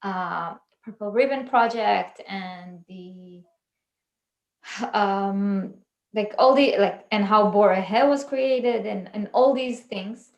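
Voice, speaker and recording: A woman hosting a relaxed fan livestream, talking in a loose, meandering way full of ums and restarts. Her voice sits in a middle register and comes through with a roomy, echoey sound around it. She keeps the tone conversational and unscripted. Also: mid-range voice, slow pace, vocal fry, highs cut off. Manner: chatty, rambling with fillers and restarts. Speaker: channel host. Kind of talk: casual livestream chat.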